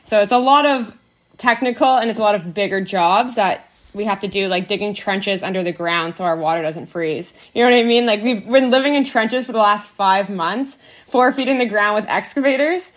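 A woman guest on a podcast, explaining her off-grid life in a relaxed, casual way. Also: medium pitch, normal speed. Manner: relaxed, explanatory, uses "like" often. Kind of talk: casual interview chat.

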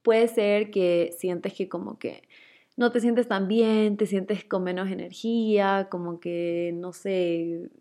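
A woman's voice: mid-range voice, fairly even intonation, takes it slowly, warm tone. A young woman with a Guayaquil accent, chatting casually as a host and answering listener questions, with frequent fillers and laughs. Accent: Ecuadorian, Guayaquil. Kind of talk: casual chat.

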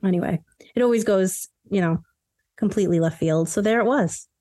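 A woman's voice: medium pitch, brisk, heavy background noise. A woman co-hosting a podcast with casual, playful, sarcastic banter and storytelling, often laughing as she talks. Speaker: podcast co-host.

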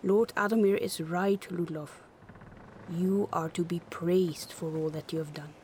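A man voicing a solemn, gentle priestess, speaking softly and sadly. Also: high voice, steady tone, a little slow, a little hiss or hum, voice pushed up. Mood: earnest.

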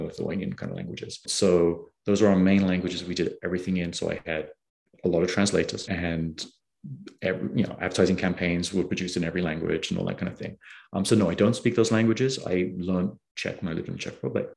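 Deep, fry-edged male guest from the UK, chatty storyteller who voices quotes. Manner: animated storyteller, acts out quoted dialogue. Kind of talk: casual storytelling interview.

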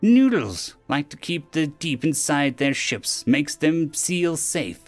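Man as alien scavenger, offhand, matter-of-fact, raised pitch, audiobook character, music behind. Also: very animated, slow, no room sound. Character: gruff, offhand alien scavenger with odd turns of phrase.

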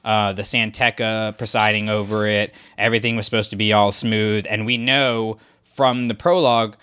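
A man's voice: fairly low pitch, little pitch movement, normal speed, bright, forceful tone, smooth. A man co-hosting a podcast, chatting casually about a story with many fillers and restarts. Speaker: podcast co-host. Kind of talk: casual discussion of a book.

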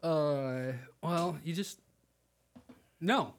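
A man's voice: a little high, extremely slow. A man co-hosting a podcast with casual banter. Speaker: co-host.

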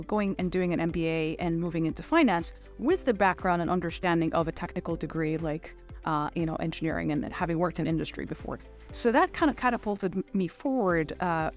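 Low-pitched middle-aged woman, interview guest. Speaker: interview guest, finance executive. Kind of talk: conversational interview answers.

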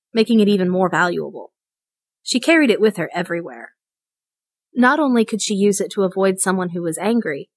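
A woman's voice: medium pitch, no echo. A woman reading a short story aloud as scripted narration. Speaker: story reader.

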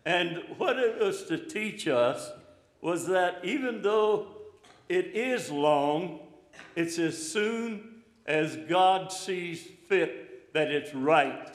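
An older man preaching an informal, encouraging sermon in a slow, plainspoken way. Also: high voice, animated. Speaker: pastor. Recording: echoey room, hall reverb.

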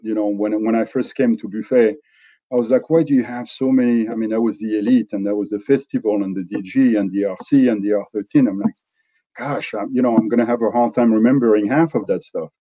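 A middle-aged man from France speaks English with a French accent, in a fairly low voice. He talks loosely, with fillers and restarts. The sound is dry and a little dull and lo-fi. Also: fairly even intonation, no echo. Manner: halting, many restarts and fillers. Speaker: company executive guest. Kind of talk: relaxed conversational interview.

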